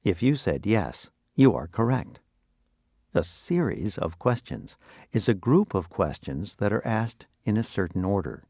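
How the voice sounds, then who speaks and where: A man's voice: lowish voice, big pitch swings, unhurried, warm tone, no echo, clean studio sound. A man presenting a scripted vocabulary review, asking and explaining in an instructional, quizzing manner. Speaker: quiz presenter.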